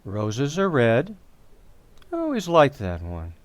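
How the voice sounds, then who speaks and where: A man's voice: medium pitch, wildly swooping pitch, takes it slowly, dry recording. A man playing a genial, bemused father in an old-time radio family comedy.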